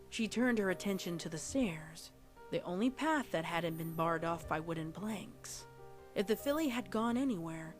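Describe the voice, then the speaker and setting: A woman reading a scripted horror story as narrator, in a dramatic storytelling style. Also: fairly low pitch.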